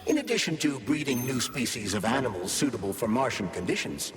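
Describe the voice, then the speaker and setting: An actor playing a polite, erudite AI glove companion in a video game, speaking formally and articulately in an explanatory way. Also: male voice, mid-range voice, big pitch swings, fairly quick, lightly acted, rough recording. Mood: informative, measured. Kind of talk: scripted video game dialogue. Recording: music behind.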